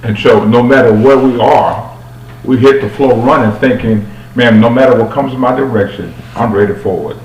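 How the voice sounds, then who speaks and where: A man's voice: mid-range voice, moderate pace, a little mellow. A man who is a pastor, speaking earnestly in a preacherly way as an interview guest, with some stumbles. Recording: roomy, distant mic with faint hum.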